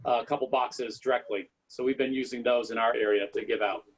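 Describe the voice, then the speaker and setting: A man's voice: medium pitch, fairly even intonation, a little fast, poor audio. A man hosting a club meeting over a video call, talking in a casual, friendly way. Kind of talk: casual chat.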